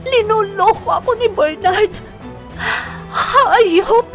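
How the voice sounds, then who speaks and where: A woman's voice: very high voice, takes it slowly, heavily acted. A woman playing a young woman working abroad who is heartbroken, talking in an emotional, tearful way in an audio drama. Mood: hurt, tearful. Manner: tearful, pleading, emotional outbursts. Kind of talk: Filipino audio drama acting. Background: soft music.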